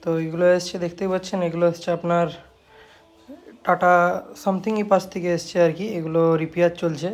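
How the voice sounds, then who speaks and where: Fast-talking Bengali man from West Bengal. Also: high voice, level tone, soft background music. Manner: repetitive, habitual confirming tags. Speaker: speaker repair shop owner. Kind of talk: casual shop video, showing work to viewers.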